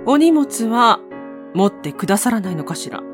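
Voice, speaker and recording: A woman's voice: on the high side, sing-song, normal speed, over music. Woman acting a haughty, lilting lady guest. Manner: prim, condescending. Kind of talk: voice drama acting.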